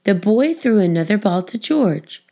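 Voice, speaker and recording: Woman reading a children's storybook. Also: medium pitch. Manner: expressive, deliberate phrasing for young children. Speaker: children's book reader. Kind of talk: scripted storybook narration.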